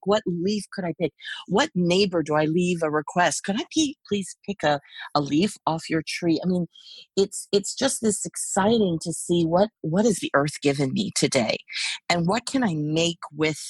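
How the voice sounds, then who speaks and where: A middle-aged woman artist, whose first language is Hungarian, talks reflectively in a podcast interview, animated and full of restarts. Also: lowish voice, slightly rough, dry recording. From United States. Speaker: guest artist.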